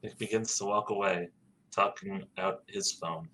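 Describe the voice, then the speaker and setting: A man reads stage directions aloud in a plain, matter-of-fact way for a sitcom table read. His voice is fairly low. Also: fairly even intonation, measured pace, sharp tone, a little hiss or hum, low-quality recording. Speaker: podcast host reading stage directions.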